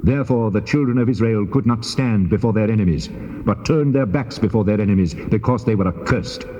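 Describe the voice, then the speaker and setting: Male actor as rebuking God, mid-pitched, dark mellow tone, measured authority, long reverb, Bible drama. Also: lo-fi sound, natural voice. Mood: stern, reproachful. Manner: solemn, authoritative, measured declamation. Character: God, stern male deity rebuking his servant. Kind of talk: dramatized scripture audio acting.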